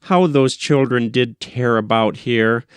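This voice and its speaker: A man reading a first-person short story as scripted literary narration, with measured, deliberate delivery. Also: medium pitch, clear, resonant tone, no echo. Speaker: audiobook reader.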